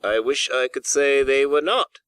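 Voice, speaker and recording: Man playing a polite, forceful portly gentleman. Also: medium pitch, measured pace, clear, resonant tone, no room sound, pitched above the performer's own voice, close to an everyday voice. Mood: solemn appeal. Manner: polite, formal, earnest. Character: portly, courteous, earnest charity-collecting gentleman. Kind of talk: audiobook character dialogue.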